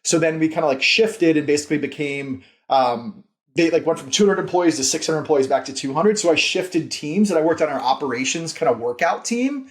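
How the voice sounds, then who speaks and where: A man's voice: fairly high pitch, lively intonation, fairly quick. An American man in his thirties telling career stories in a casual interview, rambling and earnest, with "like" peppered through his sentences. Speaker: podcast guest, company founder and CEO.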